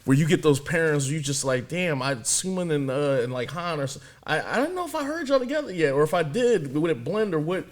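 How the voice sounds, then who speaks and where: A man's voice: fairly high pitch, very animated, a little fast, few pauses. A man co-hosting a reaction video, chatting in a laid-back, slangy way. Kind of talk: casual reaction commentary.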